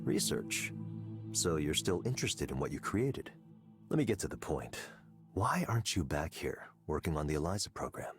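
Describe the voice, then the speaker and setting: An actor playing a smug, calculating company boss in a visual novel game, speaking in a smooth, measured, condescending way. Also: male voice, rumbling low, big pitch swings. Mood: cool, appraising. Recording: loud music bed under voice. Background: music.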